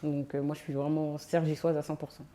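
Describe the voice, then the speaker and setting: A woman in her thirties from France, guest in a casual interview, talking in a relaxed, hesitant way with many fillers. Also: sits low, fairly quick. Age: 30s. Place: on location in a sports venue.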